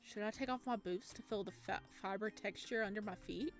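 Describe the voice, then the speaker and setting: A woman narrating a visual novel in a playful let's play, chatty and giggly, with expressive reactions. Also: medium pitch, a little fast. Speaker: gaming video host reading a visual novel aloud. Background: game music.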